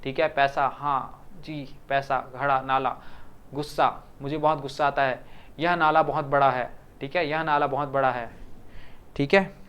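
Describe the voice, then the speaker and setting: Clear-voiced Hindi teacher from Chhattisgarh. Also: male voice, medium pitch, fairly even intonation, measured pace, muffled recording. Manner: repeats words and asks the class to confirm. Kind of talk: exam-prep grammar lesson.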